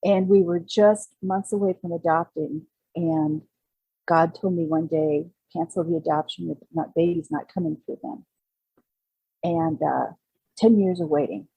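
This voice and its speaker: Mellow older woman, slightly low voice. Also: fairly even intonation, a little slow, creaky, slightly rough, dry recording, rough recording. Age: 60s. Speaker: guest author. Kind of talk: casual interview, personal storytelling.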